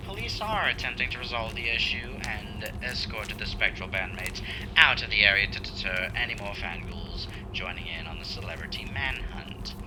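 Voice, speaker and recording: A man voicing a flamboyant male demon radio host, speaking in theatrical showman patter with sudden leaps in pitch. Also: lively intonation, normal speed, music in the background, lightly acted, low-quality recording. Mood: gleeful broadcast. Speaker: radio announcer. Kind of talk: ASMR radio roleplay.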